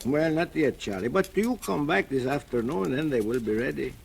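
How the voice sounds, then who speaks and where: An older man, acting a gentle fatherly shoemaker and narrating in an old radio drama, with soft chuckles. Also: a little high, lively intonation, fairly quick, gravelly, slightly rough.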